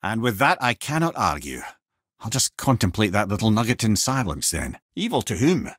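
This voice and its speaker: A man's voice: lowish voice, very animated, moderate pace, fairly bright tone, no room sound, clean studio sound. A male actor voicing a witty, wise, talkative older Scottish companion in a video game, with a wry, eloquent storytelling manner. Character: witty, garrulous, wise severed-head companion, older man.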